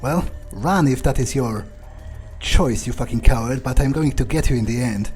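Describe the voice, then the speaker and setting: Casting: a man as a cocky, vindictive male vampire for game roleplay, mellow mid-range voice, halting, sweary delivery, faint music behind. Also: mid-range voice, natural voice. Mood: angry threats. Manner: halting, self-correcting, swearing, taunting. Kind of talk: in-character video game roleplay playthrough.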